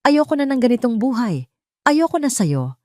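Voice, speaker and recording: A cold, menacing Filipino husband, voiced by a woman narrator in a story drama. He declares his demands flatly and threateningly, in a dry close recording. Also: fairly high pitch, moderate pace, dry recording, voice pushed up.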